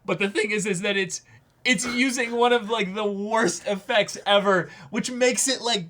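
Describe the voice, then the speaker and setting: A young man chatting casually as co-host of a reaction show, excitable and emphatic, often repeating phrases. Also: high voice, normal speed. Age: young adult. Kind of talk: casual discussion chat. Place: small indoor room, seated before a backdrop.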